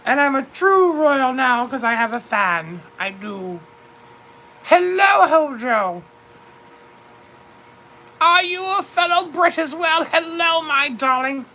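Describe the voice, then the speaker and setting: A woman's voice: high voice, expressive, slow, long pauses, sharp tone, full character voice, rough recording. A woman voicing a vain widowed duchess in her fifties with a British accent. She chats theatrically with her viewers and keeps calling everyone darling. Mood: grand. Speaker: livestream host. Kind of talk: in-character livestream chat.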